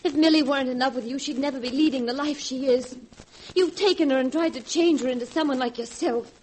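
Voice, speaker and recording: Lady acting as earnest torn young woman, high voice, old 40s radio melodrama, bit of noise. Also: fast talker, darkish tone, close to an everyday voice. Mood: stern, indignant. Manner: dramatic, earnest, fervent. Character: earnest, conflicted young woman, protective older sister. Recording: noisy vintage 1940s radio recording.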